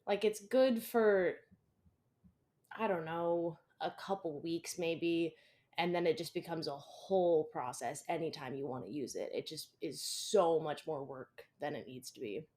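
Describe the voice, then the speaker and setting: A man's voice: a little high, a little slow, a little mellow. Man, podcast co-host, rambling, swears a lot, mock-indignant. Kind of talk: casual comedic podcast banter.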